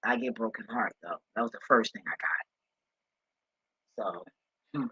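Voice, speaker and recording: A man with a husky voice talking casually and off the cuff, blunt and profane with streetwise slang, like an online spiritual commentator. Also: normal speed, sharp tone. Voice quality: husky, deep voice. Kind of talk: casual, unscripted rambling talk. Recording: roomy, dull lo-fi home recording.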